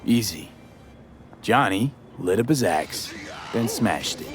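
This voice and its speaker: An actor playing a wry, street-smart young mercenary in a video game, talking in a casual, clipped way. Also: male voice, fairly low pitch, expressive, takes it slowly. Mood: casual, confident.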